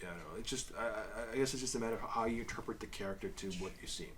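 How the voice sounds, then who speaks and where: A man's voice: on the low side, fairly bright tone. A man co-hosting a homemade review podcast, feeling his way through each sentence over audible background noise. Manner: halting, self-correcting, repeats words. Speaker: host of a review show. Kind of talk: casual review chat.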